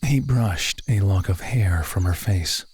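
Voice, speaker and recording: A man's voice: low voice, measured pace, darkish tone, husky. A man reading scripted story narration as the narrator.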